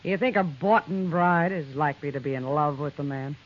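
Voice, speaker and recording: A woman's voice: deep voice, a little fast, slight background noise, lightly acted. An actress playing a shrewd, worldly frontier woman with a frank, wry manner in an old radio western. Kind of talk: 1950s radio western acting. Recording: muffled old radio recording.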